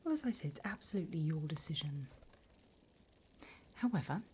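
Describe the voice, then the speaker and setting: A woman voicing a politely bureaucratic, wickedly encouraging demon recruiter in a breathy, unhurried ASMR whisper. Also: deep voice, animated, a little mellow, slight background noise. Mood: dryly official. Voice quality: breathy whisper, mostly unvoiced. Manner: unhurried, polite, dryly businesslike about torment.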